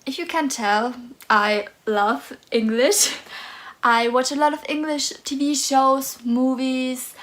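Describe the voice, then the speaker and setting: A woman's voice: high voice, takes it slowly. A woman in her twenties from Austria, with a German accent, talking nervously and hesitantly in a casual book vlog. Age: 20s. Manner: nervous, hesitant, soft-spoken. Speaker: book vlogger.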